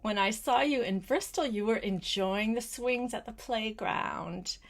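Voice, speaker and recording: An older woman reading a picture book aloud to a small child, gentle and coaxing, with chatty asides spoken straight to the child. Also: a little high. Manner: gentle, unhurried, coaxing, addresses the child directly.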